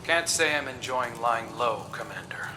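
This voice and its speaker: A wry, seasoned soldier, acted by a man, talks in a casual, loyal tone in a video game. His mid-pitched voice sits in a roomy echo, with music clearly behind it. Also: animated, moderate pace, close to an everyday voice. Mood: wry, subdued. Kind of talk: scripted game dialogue.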